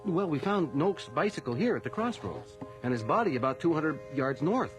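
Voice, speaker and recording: A dutiful, expressive police constable. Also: male voice, on the high side, normal speed, over music. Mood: formal, reporting. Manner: formal, hesitant report-giving. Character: dutiful, deferential young male police constable. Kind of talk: radio drama acting.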